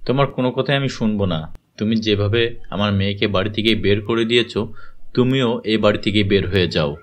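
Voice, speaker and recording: A man's voice: lowish voice, fairly quick, close to an everyday voice. A man voicing a stern, protective father in a cartoon, in a dramatic, emphatic manner. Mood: angry.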